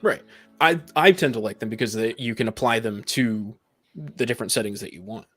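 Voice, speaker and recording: A man's voice: lowish voice, a little fast, a bit bright, slightly rough. Man explaining his view, music behind. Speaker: podcast panelist. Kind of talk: casual discussion of tabletop gaming.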